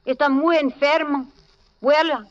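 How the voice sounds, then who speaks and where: An actress playing a tough but generous, grieving Latin American woman with a Spanish accent, brisk and knowing, in 1940s radio drama style. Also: sits high, steady tone, close to an everyday voice. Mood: firm. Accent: Spanish-accented English, Latin American. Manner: brisk, bossy, knowing, with simple foreign phrasing. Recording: dull, lo-fi old radio recording.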